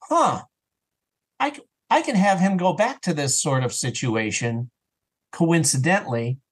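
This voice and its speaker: A man with a fairly high voice talks casually as a podcast guest. He is animated and slips into acting out his own thoughts as he explains things. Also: measured pace, slightly rough. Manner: animated, often acts out his own thoughts as quoted self-talk. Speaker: podcast guest, audio drama creator and voice actor. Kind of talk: casual interview chat.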